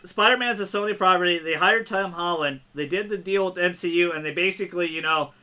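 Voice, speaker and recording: A man hosting a casual gaming podcast, excitable and quick to laugh. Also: high voice, a little hiss or hum. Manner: excitable, laughs often, talks fast in bursts. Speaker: podcast host. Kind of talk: casual chat.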